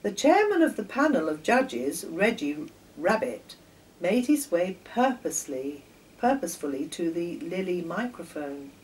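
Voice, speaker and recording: A woman in her sixties reading a children's story aloud in a deliberate storytelling manner, pausing between phrases. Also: lowish voice, very animated, darkish tone. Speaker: children's story author and reader. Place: home kitchen, indoors. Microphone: camera a few metres away. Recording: distant camera mic, faint noise.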